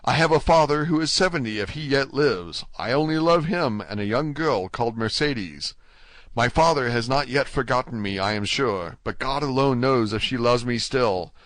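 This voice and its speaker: A man's voice: mid-range voice, moderate pace, a bit bright, no echo, close to an everyday voice. A man reading an audiobook, voicing a desperate young male prisoner who pleads fervently. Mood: pleading. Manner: fervent, imploring.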